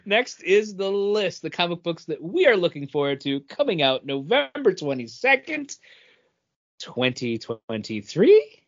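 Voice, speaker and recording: A man's voice: high voice, very animated, measured pace, dull audio. A man co-hosting a podcast, chatting casually with his friends. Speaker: podcast co-host.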